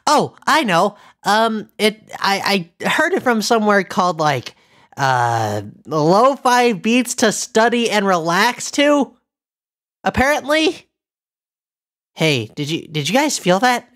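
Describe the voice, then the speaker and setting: Animatronic bear for a game played by a woman: childlike, jittery, stammering, unhurried, animated pitch. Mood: uncertain. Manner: hesitant, stammering. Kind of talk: game roleplay character.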